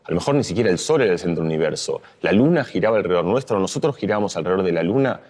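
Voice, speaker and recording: A man's voice: sits low, lively intonation, rapid-fire, a little mellow. A middle-aged man from Argentina, speaking as a neuroscientist in a reflective explanatory talk, with fillers and restarts.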